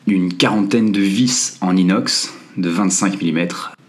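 A man narrating a do-it-yourself building tutorial in a relaxed, friendly voice-over, slipping slang into his step-by-step instructions. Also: low-pitched, a little slow. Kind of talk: casual DIY tutorial voice-over. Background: faint music.

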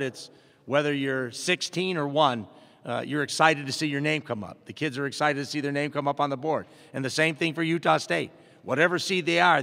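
A man's voice: medium pitch, gravelly, a bit bright. A middle-aged man, a basketball head coach, answering postgame questions at a press conference in a plainspoken, upbeat way, with many fillers and restarts. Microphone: table mic in front. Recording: long hall reverb.

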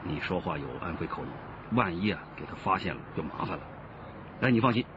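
Police detective, radio drama, deep, commanding, lively delivery, dull noisy recording. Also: male voice. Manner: brisk, decisive, authoritative. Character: commanding male police detective briefing his team. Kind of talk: Chinese radio drama acting.

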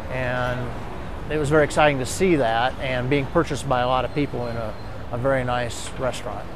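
An older man speaks plainly and thoughtfully in a mid-pitched voice. He is outdoors by a street, with clear traffic and city noise around him. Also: fairly even intonation, fairly quick. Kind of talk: street interview.

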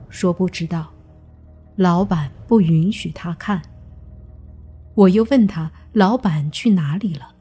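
Casting: female audiobook narrator for suspense fiction, unhurried and mellow, light music underneath. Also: lowish voice, slightly rough. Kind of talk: scripted suspense story narration. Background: background music.

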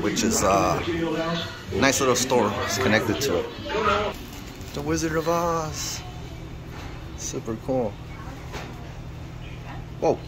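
Upbeat man vlogging in a diner. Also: mid-range voice. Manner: upbeat, chatty, narrating as he films. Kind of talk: casual food vlog walkthrough. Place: small diner indoors. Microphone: handheld camera at arm's length. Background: music playing.

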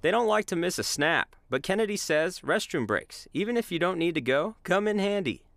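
A man reporting a local TV news story, narrating in an upbeat, lively way. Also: high voice, a little fast, vocal fry. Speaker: field reporter.

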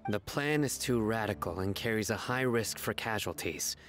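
An actor playing a stern, principled young desert law enforcer in a video game, speaking gravely and formally. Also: male voice, lowish voice, normal speed, a bit bright, faint music behind, natural voice. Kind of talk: video game character acting.

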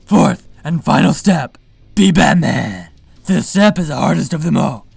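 A man playing a brooding, boastful masked superhero in a parody vlog, with a raspy growl and a deadpan, self-important delivery. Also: a little high, a little slow, no echo, heavily acted. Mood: boastful, instructive. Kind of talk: parody vlog monologue to camera.

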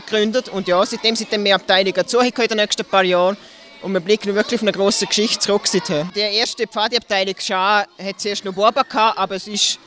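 Young Liechtenstein man, scout spokesman, brisk chatty interview answers, fairly high voice. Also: a bit bright. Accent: Liechtenstein German. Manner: fast, chatty, many fillers. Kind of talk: TV news interview. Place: indoor hall with children. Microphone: handheld broadcaster mic.

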